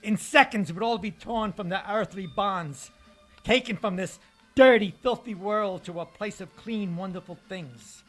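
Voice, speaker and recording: Man acting a high-voiced, unhinged radio-drama bomber. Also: fairly bright tone. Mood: rapturous. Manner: halting, stammering, rapturous. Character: unhinged male bomber, grandiose, raptly obsessed with imagined music. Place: live stage performance in a hall. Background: music.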